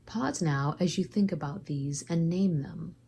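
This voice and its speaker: Low-voiced woman leading a guided meditation. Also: unhurried, mellow, slight background noise.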